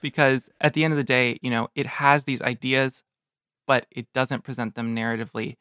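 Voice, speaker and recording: Measured man discussing film, dry sound. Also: medium pitch, little pitch movement, pushed and bright, smooth, dry recording. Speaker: podcast panelist.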